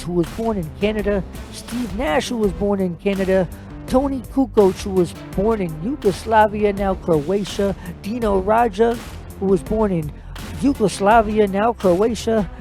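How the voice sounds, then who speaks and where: A man with an American accent hosts a solo sports podcast, and he talks in a casual, easy way. His voice is mellow and dark in tone. A faint music bed plays quietly behind him. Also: sits high. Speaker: podcast host.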